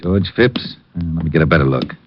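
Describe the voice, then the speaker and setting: An actor playing an irritable, hungover police lieutenant who sounds gruff and impatient, in an old radio crime drama. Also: male voice, deep voice, expressive, a little fast. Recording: lo-fi old radio broadcast with faint noise.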